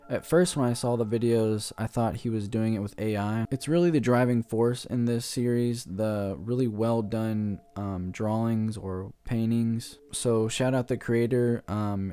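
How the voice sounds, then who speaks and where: Male online reaction host, relaxed dark-toned voice, medium pitch, informal explainer delivery. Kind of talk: casual talk to viewers, explaining and reviewing.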